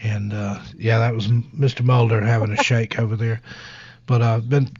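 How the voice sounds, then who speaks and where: Hoarse man chatting on a live stream. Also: medium pitch, little pitch movement, normal speed, a little mellow, no echo, dull audio. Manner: rambling, easygoing, self-deprecating. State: hoarse, scratchy voice from allergies. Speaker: guest author and narrator. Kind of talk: casual live-stream interview chat.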